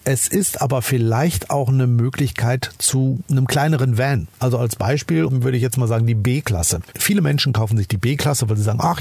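A man's voice: mid-range voice, gravelly, warm tone, slightly rough, no echo. Enthusiastic German man reviewing a car. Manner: enthusiastic, chatty, addresses listener directly. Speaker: car podcast host. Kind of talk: casual car review monologue.

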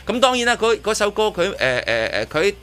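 A man's voice: high-pitched, very animated, fairly quick, a bit bright, faint music behind. A man with a Hong Kong Cantonese accent hosting casual radio chat, often repeating words and restarting as he reviews a performance. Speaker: radio host. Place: radio studio.

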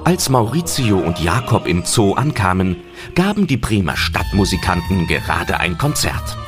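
Guy narrating a kids radio play, lowish voice, lively, loud music behind. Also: normal speed. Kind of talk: scripted children's story narration.

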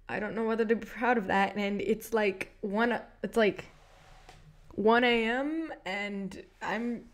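A young woman from Texas with a scratchy voice, chatting in a self-deprecating way in a quiet room at night. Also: a little high. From United States, Texas.

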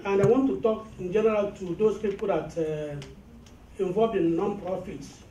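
A man's voice: sits high, steady tone, normal speed, dark tone, a little hiss or hum. A middle-aged man from Nigeria, a business owner, giving an earnest speech at a networking event in a hotel meeting room. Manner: earnest, advising. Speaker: business owner addressing fellows. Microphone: handheld mic. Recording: echoey room, mic from far off.

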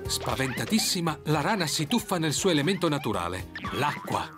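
Animated male cartoon storyteller. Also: on the high side, fairly quick, over loud music. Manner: storyteller, playful. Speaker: cartoon narrator. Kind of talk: scripted children's story narration.

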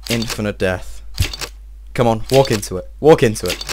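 A young man giving casual, excitable let's-play commentary, swearing and talking to himself, his voice a little strained. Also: fairly low pitch, moderate pace, noisy background. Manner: excitable, swears often, talks to himself while playing. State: slightly strained voice. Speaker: gameplay video host.

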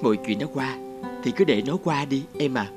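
An actor voicing a devoted, protective young fiancé in an animated drama, earnest, emphatic and tender. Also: male voice, medium pitch, brisk, over loud music, natural voice. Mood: earnest, pleading. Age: young adult.

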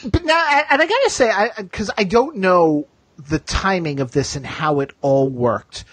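A man's voice: high voice, lively intonation, moderate pace, fairly bright tone, husky. A man hosting a casual fan discussion, circling around his questions with asides. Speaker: host of a TV fan discussion show. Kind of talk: casual chat.

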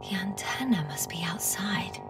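A woman's voice: on the low side, wildly swooping pitch, moderate pace, recorded from a distance, music in the background. An actress playing a weary, resourceful female space scientist in a video game, speaking naturally and sometimes muttering to herself. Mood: hushed, puzzled.

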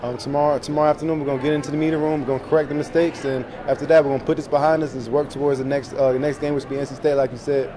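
A young man, a college football player, answering reporters in a press room with short, low-key replies full of fillers. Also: flat delivery, very fast, few pauses, a crowd in the background. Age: young adult. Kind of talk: post-game press conference answers. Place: press room in front of a sponsor backdrop. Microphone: table mics in front of him.

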